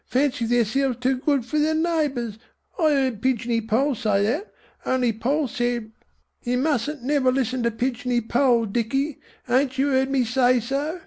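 A man's voice: extremely high pitch, measured pace, gravelly, a little mellow, voice pushed up, full character voice. A man voicing an anxious working-class London mother, whimpering and pleading. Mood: fretful.

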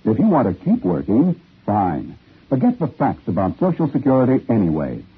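A man's voice: on the low side, wildly swooping pitch, moderate pace, husky. A man reading a scripted public service announcement on 1950s radio. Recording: tinny old radio recording.

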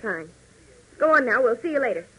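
A woman's voice: a little high, very animated, brisk, fairly bright tone, husky, full character voice. An actress playing an earnest, caring young woman, an airfield nurse, in a 1940s radio drama. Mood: firm, caring. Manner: earnest, anxious. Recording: slightly noisy vintage 1940s radio recording.

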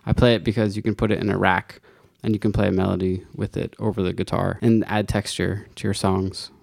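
A man's voice: sits low, level tone, fairly quick, gravelly, darkish tone, no room sound, clean studio sound. A middle-aged man, a singer and guitarist, talking thoughtfully about his song in a casual interview.

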